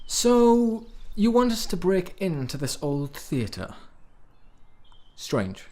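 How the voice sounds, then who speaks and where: An actor playing a troubled, drifting man in a radio drama, a wry and self-deprecating romantic with a halting delivery. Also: sits high, sing-song, warm tone, heavily acted. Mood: resigned, doubtful.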